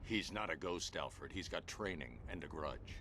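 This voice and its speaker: An actor playing a grim vigilante hero in a video game, terse, commanding and stoic. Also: male voice, very deep voice, animated, moderate pace, bright, forceful tone, a little hiss or hum, full character voice. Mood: stern. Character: grim, stern adult male vigilante hero. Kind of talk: video game cutscene acting.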